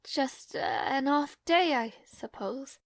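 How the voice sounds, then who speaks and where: Woman to play caring young maid for fantasy audio roleplay; higher register, unhurried, formal yet hesitant and apologetic delivery. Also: animated, dry recording, full character voice. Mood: flustered, nervous. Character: devoted young maid to a noble lord, nervous and caring. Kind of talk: scripted audio roleplay, intimate fantasy drama.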